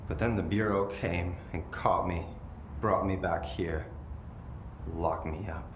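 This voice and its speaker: An actor playing a possessed young man in a video game, eerily calm, bitter and menacing, speaking in a deliberate, detached way. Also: on the low side, reverberant, slight background noise. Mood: dreamy, detached. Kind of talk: game cutscene acting.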